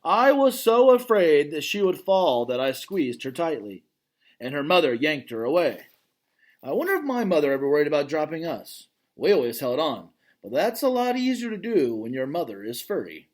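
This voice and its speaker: A man reading a children's novel aloud as its gentle, thoughtful male gorilla narrator, in a calm, reflective way. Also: a little high, moderate pace. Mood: wistful.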